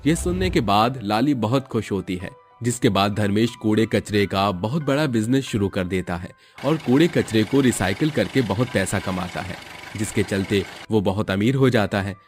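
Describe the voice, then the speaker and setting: A man narrating a scripted moral story as a storyteller. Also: on the low side.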